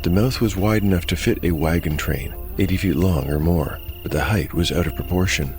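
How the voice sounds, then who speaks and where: A man narrating a scripted dark fantasy tale as game master, in a measured storytelling manner. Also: low-pitched. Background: music.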